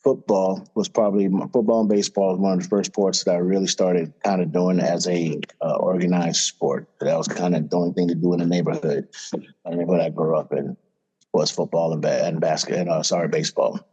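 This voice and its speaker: A middle-aged man from Florida, a wrestling coach, talking as a podcast guest in a relaxed, casual storytelling way with plenty of fillers. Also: sits low, a little fast, breathy edge, low-quality recording. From Florida, USA. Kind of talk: casual interview talk.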